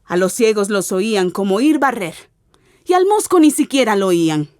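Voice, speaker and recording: A woman giving an expressive, theatrical narration of a literary radio drama as its narrator. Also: mid-range voice, normal speed, no room sound, studio quality. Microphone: close studio mic.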